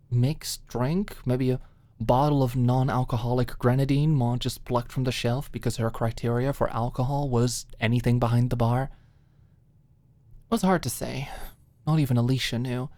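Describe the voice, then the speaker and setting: Low-voiced woman narrating an audiobook. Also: dark tone, no room sound. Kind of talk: expressive scripted story narration, ASMR audiobook.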